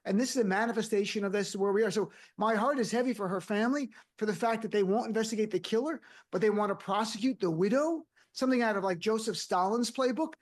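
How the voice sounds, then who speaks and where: A man's voice: high-pitched, fast talker, few pauses. A man speaking bluntly and conversationally as a guest on a political panel. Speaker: guest commentator.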